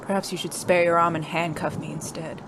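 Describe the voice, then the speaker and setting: A woman's voice: lowish voice, little pitch movement, brisk, background noise, close to an everyday voice. A woman playing a cool, secretive spy who lives as a man in a noir audio drama, formal and wry. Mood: sardonic, challenging.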